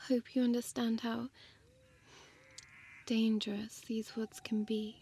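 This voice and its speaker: A woman's voice: high voice, dark tone, breathy edge, exaggerated voice. A woman playing a seductive, menacing female vampire in an intimate audio roleplay, toying with her prey in a teasing half-whisper and archaic wording. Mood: mock concern.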